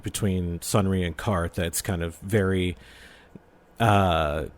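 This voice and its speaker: Man, low voice, casual fan discussion, earnest, rambling explanations. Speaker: podcast guest.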